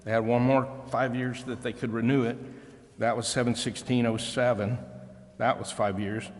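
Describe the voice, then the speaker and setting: A man questioning as a council member at a formal public meeting, with halting, roundabout explanations full of pauses. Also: medium pitch, flat delivery, a little mellow, slight background noise. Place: council chamber. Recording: echoey hall with long reverb.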